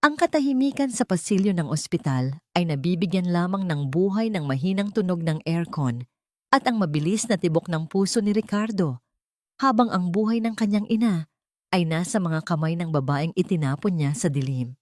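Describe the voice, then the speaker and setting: A woman reading a scripted dramatic story as its narrator, with measured, dramatic delivery. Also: fairly low pitch, lively intonation, mellow, no echo.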